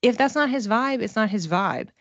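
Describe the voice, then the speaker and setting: A woman giving a casual one-to-one card reading as a psychic, talking conversationally and haltingly, circling back over phrases as she thinks aloud. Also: on the high side, lively intonation, no echo, muffled recording. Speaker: psychic reader.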